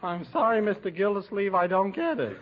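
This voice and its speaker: High-voiced, fussy, whiny young fellow. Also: male voice, animated, brisk. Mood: plaintive. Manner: plaintive, literal-minded. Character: whiny, fussy, sickly-careful young man, gloomy. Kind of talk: 1940s radio comedy acting. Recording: dull, noisy 1940s radio recording.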